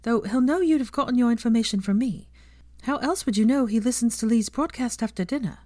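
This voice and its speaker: A woman voicing an earnest, defiant young witch, caring and quick to indignation, in an audiobook-style story reading. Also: on the high side, a little mellow, no echo, voice pushed up, lightly acted. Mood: sly, chatty.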